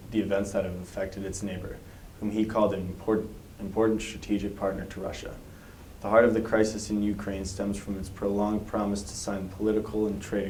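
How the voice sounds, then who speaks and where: Flat-voiced young man reading news. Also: on the low side. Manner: even, measured reading with small slips. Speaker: student news anchor. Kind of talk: read news report. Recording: echoey room with faint noise.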